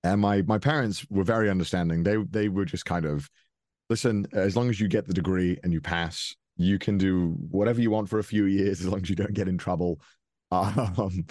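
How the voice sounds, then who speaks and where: Casting: young Welsh man, very low voice, dry close sound, loose rambling podcast guest. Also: a little mellow, no echo. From Wales. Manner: rambling, frequent restarts and fillers, chuckles often. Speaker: interview guest. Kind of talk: casual interview.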